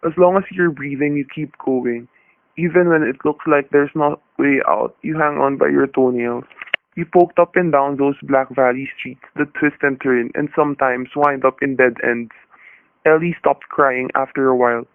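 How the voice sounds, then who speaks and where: An actor playing a steady, shrewd homicide detective in a radio thriller, with a wry, hard-boiled, folksy way of talking. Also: male voice, mid-range voice, normal speed, slight background noise, close to an everyday voice. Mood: tense, weary. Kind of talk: vintage radio drama acting and noir narration. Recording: tinny old radio recording.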